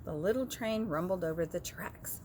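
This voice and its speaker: A woman reading a children's story aloud as an expressive storyteller. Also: lowish voice, normal speed, a little hiss or hum.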